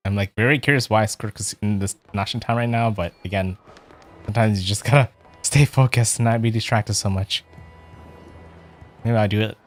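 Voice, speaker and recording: Low-voiced rambling man streaming a game. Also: steady tone, moderate pace, long pauses, faint music behind. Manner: rambling, with fillers and chuckles. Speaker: virtual streamer playing a video game. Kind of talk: casual let's play commentary.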